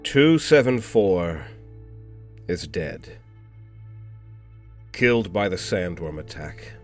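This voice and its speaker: An actor playing an obedient, coldly formal henchman reporting to a superior in an audio drama, in a measured manner. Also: low voice, sing-song, faint music behind, exaggerated voice. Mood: coldly dutiful. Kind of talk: anime-style audio roleplay drama.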